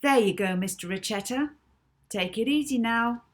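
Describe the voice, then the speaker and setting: A breezy, self-assured young storybook girl, as a woman reader puts her on. Her voice is lifted higher, casual and cheery. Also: normal speed, close to an everyday voice.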